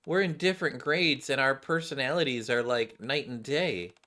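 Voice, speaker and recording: A man's voice: mid-range voice, moderate pace, bright, forceful tone, close to an everyday voice. Man playing a hesitant, earnest schoolgirl. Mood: unsure.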